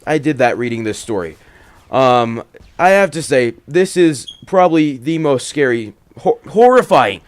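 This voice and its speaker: A man hosting and reading horror stories in an expressive, dramatic way, moving between hushed and emphatic delivery. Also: medium pitch, no echo. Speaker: horror story reader and host. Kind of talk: dramatic first-person horror narration with casual commentary.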